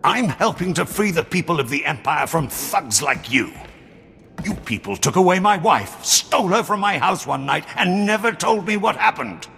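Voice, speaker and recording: An actor playing a bitter, vengeful middle-aged father turned rebel in a video game, gravelly, strained and accusing. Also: sits high, animated, normal speed, fairly bright tone, full character voice. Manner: dramatic, accusatory. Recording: long hall reverb, audible background noise.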